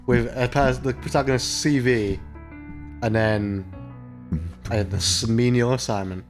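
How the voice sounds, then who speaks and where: Guy doing a lets play, reads the game out loud, sweary mocking asides, guitar music under him. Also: mid-range voice, steady tone, measured pace. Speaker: let's play host. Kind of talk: joking commentary and read-aloud of a visual novel. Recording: music behind the voice.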